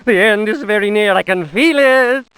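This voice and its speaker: A man's voice: high-pitched, moderate pace, a bit bright, clean tone, no room sound. An actor voicing a doomed diary writer in a horror game, theatrical and anguished as he slips into despairing madness. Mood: despairing, resigned.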